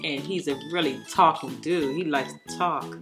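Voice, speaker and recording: A woman's voice: deep voice, moderate pace. A woman hosting a casual toy unboxing and demonstration, explaining things simply as if to a child and viewers. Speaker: toy review host. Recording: background music behind the voice. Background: music.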